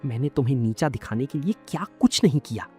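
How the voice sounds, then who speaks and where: A woman voicing a proud male village shopkeeper with intense feeling, in an animated story. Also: a bass voice, fast talker, husky, voice pushed down, heavily acted. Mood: remorseful. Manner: emotional, intense. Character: proud, jealous village shopkeeper man, bitter then remorseful. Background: background music.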